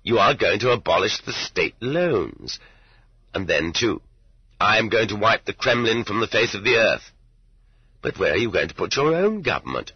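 Man voicing a mocking, husky interrogator colonel. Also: on the low side, very animated, moderate pace, voice pushed up, heavily acted. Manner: sarcastic, pointedly questioning. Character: mocking lieutenant colonel interrogator, amused and condescending. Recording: dull lo-fi roomy cassette audiobook.

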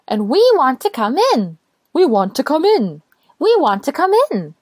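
Woman as small cheery sun, high lilting voice, eager, insistent, storybook character. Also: big pitch swings, unhurried, husky, no room sound, clean studio sound, exaggerated voice. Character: soft, cheery little sun, eager to enter.

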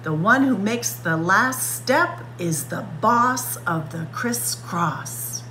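A woman reading a picture book aloud for children at story time, expressive and stretching words to act them out. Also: medium pitch, takes it slowly, background noise. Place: indoors, library room with bookshelves.

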